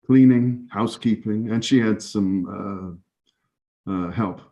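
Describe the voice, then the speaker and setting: An actor playing a halting, evasive man in his forties in a dramatic 1930s scene. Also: lowish voice, creaky, warm tone. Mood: hesitant, evasive. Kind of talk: dramatic two-person scene reading. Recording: dull lo-fi audio.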